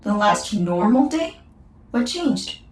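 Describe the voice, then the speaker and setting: An actress playing an inquisitive, literal-minded coworker who asks precise questions. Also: female voice, medium pitch, very animated, slow, a crowd in the background. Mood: curious. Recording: echoey room.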